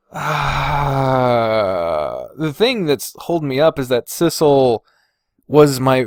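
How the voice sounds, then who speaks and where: A man speaks slowly in a mid-pitched voice, with the dry sound of a close space. He hosts a podcast in a casual, unscripted way, deliberating hesitantly, sighing and swearing freely as he thinks aloud. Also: no room sound. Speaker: podcast host. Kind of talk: casual unscripted chat, ranking fictional characters.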